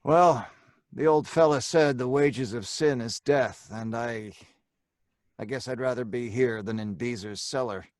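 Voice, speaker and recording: A man's voice: mid-range voice, measured pace, a little mellow, no room sound, studio quality, close to an everyday voice. A man playing a young condemned crook, narrating his own story with wry, sardonic delivery in an old-time radio drama. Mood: wry, resigned.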